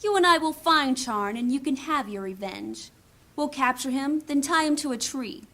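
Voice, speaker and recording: Hard, commanding, high-voiced thief woman. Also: animated, moderate pace, pushed and bright, full character voice. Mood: grim, goading. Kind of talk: fantasy audio drama acting.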